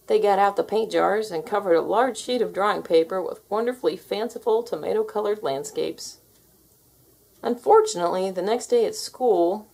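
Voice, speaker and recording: Woman, storybook reader, expressive narration for kids, gravelly, raspy edge. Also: mid-range voice. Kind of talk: expressive scripted read-aloud for children.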